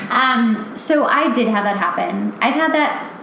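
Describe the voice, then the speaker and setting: A woman's voice: fairly high pitch, lively intonation, a little slow, creaky, a bit bright, reverberant, a little hiss or hum, poor audio. A woman hosting a podcast, chatting casually with frequent laughs and fillers. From Los Angeles. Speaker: podcast host and author. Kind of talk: casual chat.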